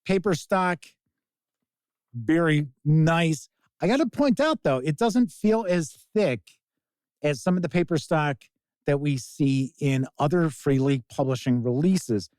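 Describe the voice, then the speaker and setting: A man presenting a casual tabletop game review as host, speaking in deliberate, emphatic phrases. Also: on the high side, animated, a little mellow, dry recording. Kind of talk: casual scripted-outline product review to camera.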